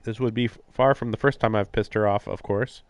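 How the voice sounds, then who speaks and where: A man's voice: lowish voice, fairly even intonation, a little fast, no room sound. A man livestreaming a visual novel, casually reading narration aloud and adding sardonic, incredulous asides. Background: game music.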